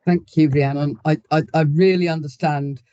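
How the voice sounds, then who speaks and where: A man councillor asks questions at a committee meeting, his voice a little high. He speaks haltingly and repeats words as he goes. The meeting audio is dull and lo-fi. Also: fairly even intonation, mellow, clear, resonant tone, dry recording.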